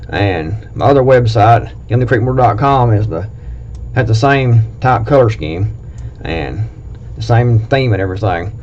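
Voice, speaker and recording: A man with an Appalachian accent talks plainly and bluntly to his viewers, wandering and restarting as he goes. His voice sits at a medium pitch. The recording is dull and lo-fi. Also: darkish tone. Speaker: prepping video host. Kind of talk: casual talk to camera, reading a list.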